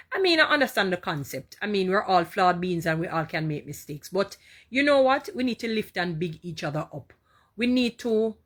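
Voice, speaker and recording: Chatty Jamaican woman, lowish voice. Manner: warm, chatty, repeats herself, reads and answers viewer comments. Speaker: live-stream host. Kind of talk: casual inspirational live talk.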